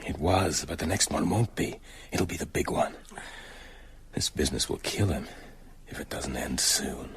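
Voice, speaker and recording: An actor playing a concerned, practical doctor in a radio drama. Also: male voice, very deep voice, lively intonation, moderate pace, whispered. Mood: worried. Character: concerned, practical doctor watching over his patient.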